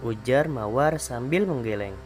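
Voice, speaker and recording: A male primary school teacher reading a children's fable aloud in a calm, clear voice for a remote class. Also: medium pitch, measured pace, faint music behind. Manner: calm, clear storybook narration.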